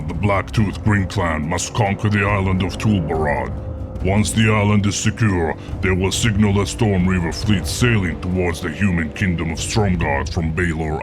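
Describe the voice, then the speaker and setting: An actor playing a stern, threatening orc war chief giving imperious orders in a video game cutscene. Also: male voice, deep voice, lightly acted. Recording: music under the voice.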